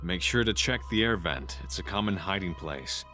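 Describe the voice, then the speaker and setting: A man's voice: low voice, expressive, moderate pace, sharp tone. A man playing a serious police inspector who narrates his investigation in an audio crime drama. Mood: focused. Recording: music behind the voice.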